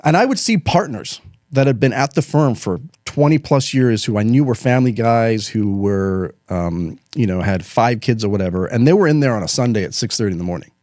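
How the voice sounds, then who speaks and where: A man's voice: medium pitch, animated, a little mellow, dry recording. A middle-aged man from Chile, an attorney guest telling his career story in casual, laid-back talk, with a studio microphone. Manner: fast, casual, says "dude" and "man".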